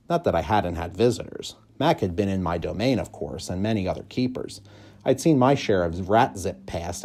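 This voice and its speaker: A man reading a children's story aloud in expressive, measured, clearly phrased narration. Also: low-pitched. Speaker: audiobook reader, school staff member.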